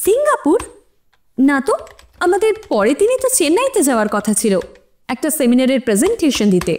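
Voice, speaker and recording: Young student witness, high voice, super animated, earnest n defensive, audio drama. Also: female voice, fairly quick. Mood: earnest, puzzled. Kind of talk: audio drama courtroom acting.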